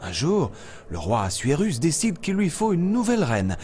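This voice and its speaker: Patient wise cartoon animal storyteller, lively swooping intonation, encouraging, music underneath. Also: male voice, fairly high pitch, moderate pace. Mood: storytelling. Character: kindly, reassuring adult male jungle animal, wise storyteller. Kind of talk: children's cartoon voice acting.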